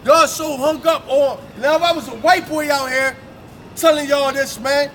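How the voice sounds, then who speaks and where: A man street preaching in a forceful, confrontational way, ending phrases with "man", outdoors on a city street. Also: extremely high pitch, steady tone, moderate pace. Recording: street crowd noise.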